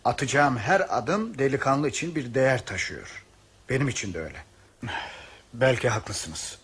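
A man's voice: medium pitch, lively intonation, moderate pace, a bit bright, breathy edge. A man acting in a Turkish radio play as an earnest, stubborn idealist on a train, speaking in formal theatrical diction. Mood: reproachful.

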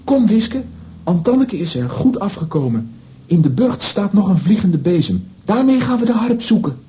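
A man's voice: high voice, very animated, moderate pace, dark tone, breathy edge, exaggerated voice. An actor playing a plucky, reassuring young boy in a children's audio drama. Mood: relieved, encouraging.

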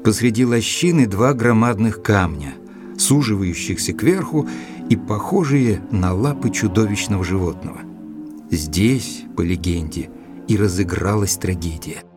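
An actor playing an earnest, educated gentleman who narrates his letters in a measured, expressive way for an audio drama. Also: on the low side. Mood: storytelling. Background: music.

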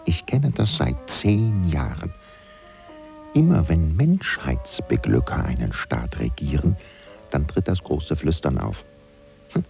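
A man's voice: a bass voice, sing-song, takes it slowly, vocal fry, dull audio, soft background music, heavily acted. An actor playing a sly servant of a powerful statesman, narrating a German radio play in a wry, confiding way. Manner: wry, confiding, hushed at times.

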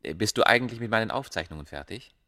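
A man playing a proud, secretive scholar of ancient Egypt in a radio play, speaking in a measured, formal way. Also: fairly low pitch, expressive, pushed and bright. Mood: curious, probing.

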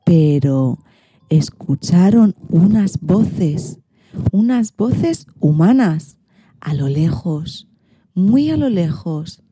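Female children's storyteller, expressive and deliberate, soft mellow tone, dry close sound. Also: lowish voice, husky, dry recording. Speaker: narrator. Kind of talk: children's radio story narration.

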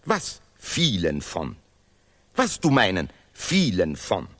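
An actor playing a pompous, sanctimonious male laureate who declaims vehemently in deliberately broken grammar in an absurdist radio play. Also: deep voice, wildly swooping pitch, a bit bright, full character voice. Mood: pompous, lecturing.

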